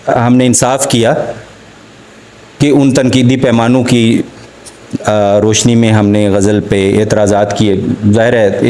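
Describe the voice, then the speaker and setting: A man lectures on literature in a Pakistani accent. His voice sits at a medium pitch and moves slowly. He is formal and deliberate, often halting mid-sentence before carrying on. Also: darkish tone. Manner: halting, deliberate, with frequent hesitations and pauses. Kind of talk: formal academic lecture with poetry quotations.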